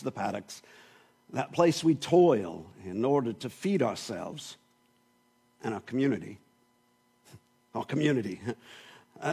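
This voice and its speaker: A man's voice: mid-range voice, gravelly, slightly rough, heavily acted. A man performing a plainspoken New Zealand shepherd in a monologue, with a put-on New Zealand accent, talking in a folksy way with chuckles and tag questions. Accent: New Zealand, put on with some English mixed in. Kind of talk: dramatic monologue in a sermon.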